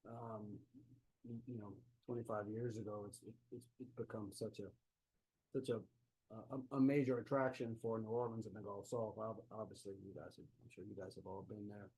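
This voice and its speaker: South louisiana guy telling stories on a video call, warm low-key tone, laptop mic. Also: husky, rough recording. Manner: folksy, easygoing storytelling. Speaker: author and historian giving a talk. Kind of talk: conversational remote lecture. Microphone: laptop microphone on a video call.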